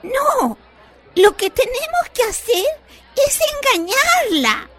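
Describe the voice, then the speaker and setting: A woman's voice: extremely high pitch, very animated, slow pace, fairly bright tone, a faint crowd, full character voice. A Chilean woman playing a frail but crafty old grandmother in a radio play. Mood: sly. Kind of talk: Spanish-language radio theatre acting.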